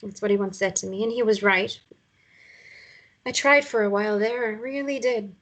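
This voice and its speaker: A woman's voice: medium pitch, fairly even intonation, normal speed, a bit bright, lightly acted. A woman playing a sad, lonely mother in a drama table read, hesitant and subdued. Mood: wistful, sad. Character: sad, lonely mother, quietly in love with a widower.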